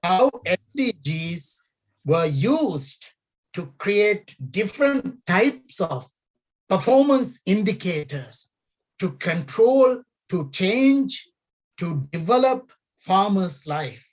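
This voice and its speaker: A woman's voice: medium pitch, sing-song, takes it slowly, rough recording. A middle-aged woman from Sri Lanka, an academic talking through her research in a hesitant way, with many fillers and repeated key phrases. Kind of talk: conversational interview.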